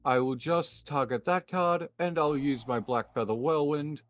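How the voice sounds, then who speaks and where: Unhurried man on a dull, lo-fi mic. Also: fairly high pitch. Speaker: card game duelist player. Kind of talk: casual gameplay talk in a roleplay duel. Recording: dull lo-fi mic, faint music behind.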